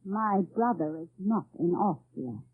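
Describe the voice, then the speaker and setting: A woman's voice: mid-range voice, animated, unhurried, breathy edge, dry recording. An actress playing a guarded, evasive young Austrian woman in an old-time radio drama, careful and hesitant. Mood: cold denial. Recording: dry, tinny old radio recording.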